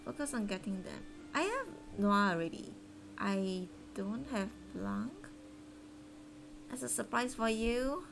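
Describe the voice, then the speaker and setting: Faint music plays behind a woman streaming a game. Her voice is mid-pitched, and she chats casually, rambling and hesitating in a giggly way. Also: expressive, takes it slowly, creaky, low-quality recording. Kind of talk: casual live-stream chat.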